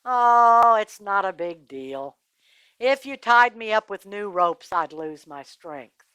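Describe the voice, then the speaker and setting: A woman's voice: mid-range voice, animated, takes it slowly, fairly bright tone, smooth, no echo, low-quality recording. Woman acting out a gullible, cajoling strongman. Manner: cajoling, stammering, offhand. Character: gullible, boastful strongman, exasperated lover in Bible tale. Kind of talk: oral Bible storytelling for children.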